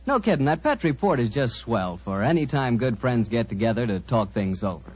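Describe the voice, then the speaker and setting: A man's voice: mid-range voice, sing-song, fairly quick, husky. An American man announcing in 1940s radio style, with a folksy, polished sales manner. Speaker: radio announcer and sponsor pitchman. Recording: dull 1940s radio broadcast recording.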